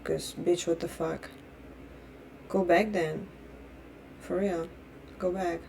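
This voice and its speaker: Young Russian-born woman, native Russian speaker, fiery profane vlog rant, low-set pitch. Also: flat delivery, slow pace, darkish tone, slight background noise. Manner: blunt, heated and profane; repeats and restarts phrases. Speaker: vlogger. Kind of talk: opinion rant.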